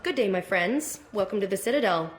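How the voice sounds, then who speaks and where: An actress playing a gracious, formal shop merchant in a video game. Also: female voice, mid-range voice, lively intonation, brisk, lightly acted. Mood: welcoming. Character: courteous, formal shop merchant on space station. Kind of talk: video game character acting.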